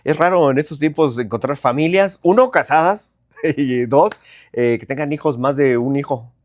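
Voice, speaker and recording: A man's voice: fairly high pitch, dry recording, studio quality. A Mexican man hosting a podcast, chatting in a playful, upbeat way with his guest. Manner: upbeat and playful, drawn-out enthusiastic intro. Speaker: podcast host. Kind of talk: casual interview chat.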